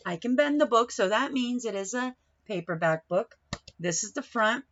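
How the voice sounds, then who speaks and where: A woman reading a picture book to young children like a teacher, pausing to explain and put questions to her listeners. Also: a little high, animated, muffled recording. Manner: instructive, questioning, addresses young listeners directly.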